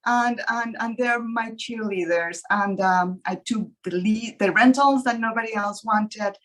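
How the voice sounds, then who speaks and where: A woman from Colombia with a Colombian Spanish accent, an estate agent telling her story on a webinar panel in an earnest way, pausing often. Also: a little high, a bit bright, poor audio. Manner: earnest, many pauses and ums. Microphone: video call.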